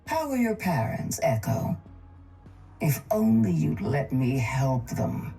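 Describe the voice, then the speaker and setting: An actress playing a cold, calculating older crime-boss chemist in a video game, speaking in a measured, menacing, condescending way. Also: female voice, rumbling low, very animated, dark tone, breathy edge, soft background music, heavily acted. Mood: cool regret. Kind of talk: video game character voice lines.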